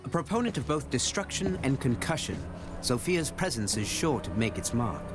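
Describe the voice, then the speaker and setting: Military director played by a man for a shooter game: formal, deliberate, scripted, mid-pitched with animated inflection. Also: natural voice. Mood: measured, formal. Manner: formal, measured, deliberate delivery. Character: male special-forces director, measured, formal, appraising his operatives. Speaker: game announcer introducing operators. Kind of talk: scripted narration. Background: music.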